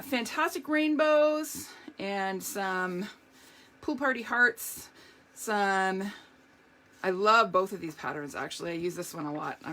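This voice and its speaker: A hoarse woman hosting a live craft demonstration, chatty and upbeat, greeting viewers by name as she works. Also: mid-range voice, sharp tone. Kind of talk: live craft demo chat.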